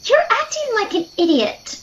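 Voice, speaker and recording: A woman's voice: extremely high pitch, sing-song, moderate pace, fairly bright tone, full character voice. A woman playing an earnest, easily spooked sister who chides and scolds in an audio drama. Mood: scared, annoyed. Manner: chiding. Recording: dull lo-fi audio, faint noise.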